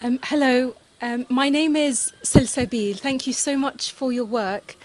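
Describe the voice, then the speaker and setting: A young woman from Algeria, an audience member, asking a thoughtful question earnestly, with some "um" pauses. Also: sits high, rough recording.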